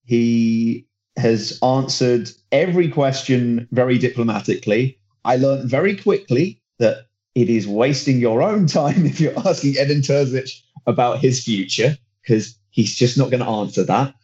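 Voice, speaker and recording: A man's voice: medium pitch, a little slow, dark tone, muffled recording. A man working as a football reporter, chatting casually on a podcast, enthusiastic and rambling, often restarting his sentences. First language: not German; German is his second language.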